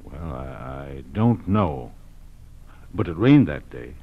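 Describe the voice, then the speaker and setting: An actor playing an earnest kidnap victim in a 1940s radio crime drama, speaking haltingly and carefully. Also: male voice, extremely low pitch, very animated, husky, heavily acted. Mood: hesitant, earnest. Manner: halting, careful, eager to help. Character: earnest male kidnap victim recalling his captivity. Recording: muffled vintage radio broadcast.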